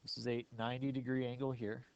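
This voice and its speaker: A man's voice: mid-range voice, little pitch movement, fast, gravelly, a little mellow, clean tone, dull audio. A man teaching a math class step by step, often checking understanding with questions. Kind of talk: classroom lesson, explaining step by step.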